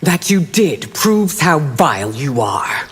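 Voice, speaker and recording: An actress playing a stern, regal empress in a fighting game, righteous and disdainful, with imperious, formal phrasing. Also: female voice, deep voice, big pitch swings, slow, husky, exaggerated voice. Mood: righteous anger. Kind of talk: fighting-game confrontation dialogue. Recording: long hall reverb, music behind.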